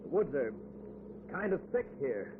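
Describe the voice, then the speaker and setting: An actor playing a nervous, shifty motorist in an old-time radio thriller, hesitant and anxiously placating. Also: male voice, fairly high pitch, sing-song, a little slow. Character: nervous, shifty male motorist trying to appease. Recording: tinny, very noisy old radio recording.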